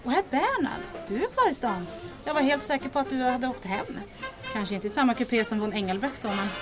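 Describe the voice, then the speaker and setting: An actress playing a confident, quick-witted, sociable woman with an encouraging manner in a comic radio drama. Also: sits high, expressive, music in the background. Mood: breezy.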